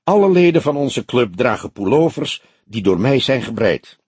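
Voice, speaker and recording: A man's voice: mid-range voice, very animated, moderate pace, darkish tone, slightly rough, no echo. A man voicing a proud, ingratiating woman in an audiobook, with an enthusiastic, winning manner. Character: proud woman club member, eager knitter, ingratiating.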